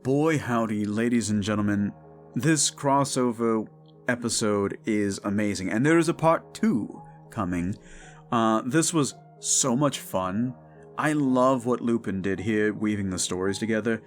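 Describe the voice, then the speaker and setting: A man's voice: on the low side, measured pace. Casual man in his thirties over guitar music. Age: 30s. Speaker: audio drama creator and host. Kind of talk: casual rambling outro to listeners.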